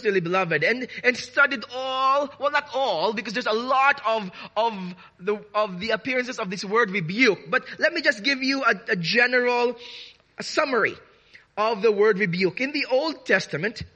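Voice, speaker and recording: A man preaching a sermon to his congregation, earnest and deliberate, with frequent restarts, drawing out key words. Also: very high-pitched, expressive, sharp tone, lo-fi sound. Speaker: pastor.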